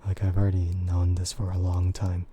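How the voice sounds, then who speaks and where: Soft-voiced man in a low half-whisper. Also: completely flat delivery, normal speed, a little hiss or hum. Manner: hesitant, full of fillers and quiet laughs. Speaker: streamer. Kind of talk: soft-spoken ASMR chat with viewers.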